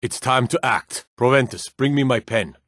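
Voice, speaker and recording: An actor playing a gruff, proud Nordic warrior and guard in a fantasy video game, speaking in a firm, emphatic, declamatory way. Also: male voice, medium pitch, normal speed, a bit bright, no echo, clean studio sound, natural voice. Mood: indignant, resolute. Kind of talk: dramatic video game dialogue.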